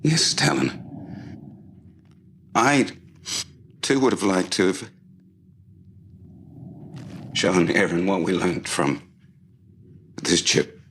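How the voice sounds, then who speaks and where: Slow, low-voiced, grieving officer. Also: male voice, lively intonation, fairly bright tone, slight background noise, exaggerated voice. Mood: mournful. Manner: slow, halting, emotional delivery. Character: grieving male military officer, mournful and formal. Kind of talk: science fiction television drama acting.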